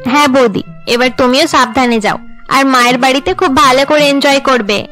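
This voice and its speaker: A woman's voice: high voice, steady tone, brisk, a bit bright, soft background music. A woman voicing a caring, outspoken young sister-in-law in a cartoon. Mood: cheerful, caring.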